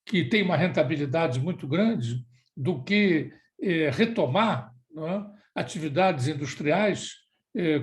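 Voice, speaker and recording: A male interviewer posing a long analytical question, measured and pausing to find his words. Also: fairly high pitch.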